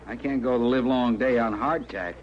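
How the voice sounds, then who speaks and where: An actor playing a sardonic, complaining captive outlaw with an American accent and a menacing edge in a radio western. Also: male voice, medium pitch, moderate pace, natural voice. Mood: resentful. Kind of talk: old-time radio western acting. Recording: muffled, noisy old radio recording.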